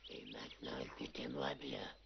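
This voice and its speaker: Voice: rumbling low, steady tone, normal speed, gravelly, a little hiss or hum, exaggerated voice. An actor voicing an authoritative wolf who leads the pack in a dubbed cartoon. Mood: low, measured. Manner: authoritative, commanding. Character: commanding wolf pack leader giving hunt orders. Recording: muffled cartoon soundtrack with music.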